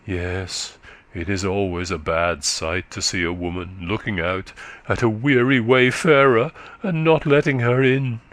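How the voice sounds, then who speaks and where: A man voicing a weary, faint middle-aged countrywoman who is exhausted and short of breath, speaking slowly and low. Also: fairly even intonation, a bit bright. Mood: bitter. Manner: slow, low, sorrowful.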